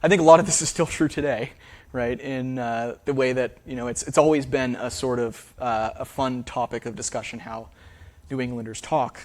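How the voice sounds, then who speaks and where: A man's voice: medium pitch, fairly even intonation, normal speed. A man who is a linguist, answering audience questions after a talk in a theater, casual with many fillers. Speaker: speaker, linguist. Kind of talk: lecture Q&A answers.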